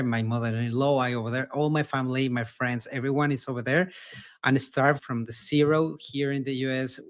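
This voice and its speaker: Mexican-accented founder, earnest and repetitive. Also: male voice, mid-range voice, fairly bright tone. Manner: earnest, repeats words, non-native phrasing. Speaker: startup founder guest. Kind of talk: casual interview answers.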